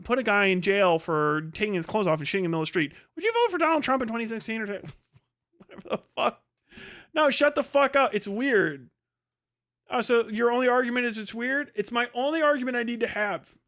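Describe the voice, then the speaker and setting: A high-voiced man hosts a livestream, reading posts aloud and reacting to them. His commentary is casual, full of swearing, and delivered with open sarcasm and mockery. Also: a bit bright. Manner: heavily profane, sarcastic, mocking. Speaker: livestream host.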